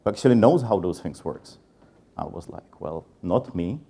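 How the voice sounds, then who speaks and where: Low mellow-voiced man with Czech accent. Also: expressive, normal speed, slightly rough. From Czech Republic. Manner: self-deprecating, candid, frequent "uh" fillers. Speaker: conference speaker. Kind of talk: conference talk. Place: conference stage.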